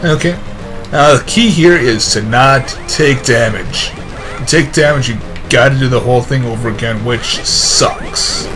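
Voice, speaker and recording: A tired, slightly hoarse man giving casual, wry gameplay commentary. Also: medium pitch, unhurried. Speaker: let's play commentator. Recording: game music behind the voice. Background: video game music.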